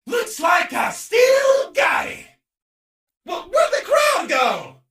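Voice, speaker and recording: Cocky hyper villain showman for a game, bright and yelly, taunting, kinda far from the mic w faint noise. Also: very high voice, wildly swooping pitch, takes it slowly, echoey room, full character voice. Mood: boastful, excited. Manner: brash, taunting, theatrical shouting. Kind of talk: exaggerated video game character voicelines.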